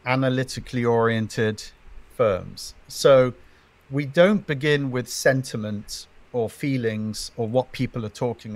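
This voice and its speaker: A middle-aged man answering interview questions as a venture investor. He speaks in a measured, emphatic way and builds his points in lists of three. His pitch sits in a typical male middle range.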